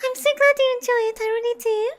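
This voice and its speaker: A woman's voice: extremely high pitch, fairly even intonation, fast talker. A woman game streamer chatting casually with her viewers as a VTuber. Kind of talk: casual livestream chat.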